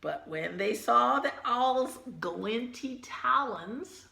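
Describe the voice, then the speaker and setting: A woman teacher reading a children's picture book aloud, gently pausing to point out the pictures in hushed asides. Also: a little high, lively intonation, gravelly, roomy sound.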